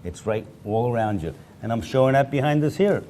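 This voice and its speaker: A man's voice: mid-range voice, slight background noise. A man who is a magician, telling stories and showing tricks in a casual chat. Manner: storytelling, animated, quick to laugh. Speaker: magician guest.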